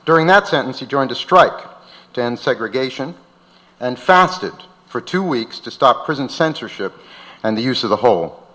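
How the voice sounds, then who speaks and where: A man's voice: mid-range voice, lively intonation, normal speed, sharp tone, low-quality recording. A man narrating from a script aloud as the host of a live event.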